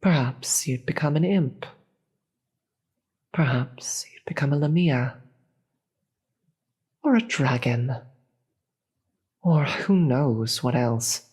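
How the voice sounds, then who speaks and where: A performer voicing a regal, solemn demon queen in a fantasy audio roleplay, speaking formally and gravely in a breathy voice that often drops into a whisper. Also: mid-range voice, a little mellow. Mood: measured, grave. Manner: slow, formal, measured, grave.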